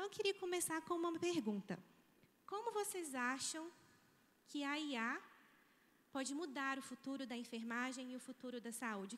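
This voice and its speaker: A woman's voice: high-pitched, fairly bright tone. A woman in her thirties from Minas Gerais with a Mineiro accent, giving a chatty, informal conference keynote on a handheld mic in a large auditorium. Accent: Mineiro Brazilian Portuguese. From Brazil, Minas Gerais. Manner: chatty, informal, with frequent tag questions. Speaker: guest speaker, nursing professor. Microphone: handheld microphone. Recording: hall reverb.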